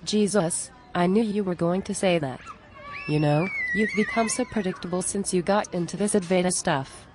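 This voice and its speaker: Woman playing an exasperated cartoon friend, plain-spoken, conversational, lowish pitch, faint background noise. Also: moderate pace, lightly acted. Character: exasperated down-to-earth friend of a preachy guru. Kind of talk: scripted animated dialogue.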